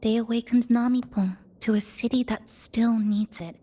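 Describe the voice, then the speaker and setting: An actress playing a composed young woman in a video game, speaking in a measured, reflective way. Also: on the high side, steady tone, dark tone, close to an everyday voice. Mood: serious, reflective. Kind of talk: video game cutscene voice acting.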